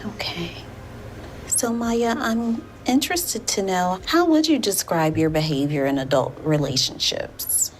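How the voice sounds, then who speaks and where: A woman speaking as a therapist in a session, with deliberate, formal phrasing. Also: mid-range voice, very animated. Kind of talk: measured counseling session talk. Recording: clear background room noise.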